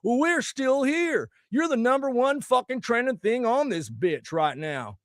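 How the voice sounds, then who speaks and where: A man's voice: very high voice, sing-song, unhurried, no echo, clean studio sound. Foul-mouthed ranting man. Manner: loud, crude, preachy, swearing constantly. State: slightly scratchy voice from singing. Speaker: musician promoting his song. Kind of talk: profane political rant to camera.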